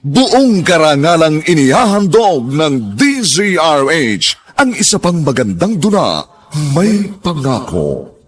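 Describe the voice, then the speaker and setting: A man's voice: on the high side, very animated, slow pace. Solemn male radio drama announcer. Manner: solemn, sweeping announcer delivery. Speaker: show announcer. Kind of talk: dramatic radio program opening narration. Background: theme music.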